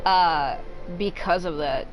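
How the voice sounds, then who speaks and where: A woman game streamer chatting casually and rambling while she plays, frank and profane, with loud silly outbursts. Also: on the low side, takes it slowly, faint music behind.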